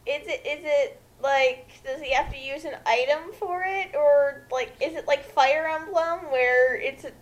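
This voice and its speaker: High-voiced, incredulous woman chatting. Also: a little slow, few pauses. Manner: questioning, incredulous. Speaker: commentator. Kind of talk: casual chat.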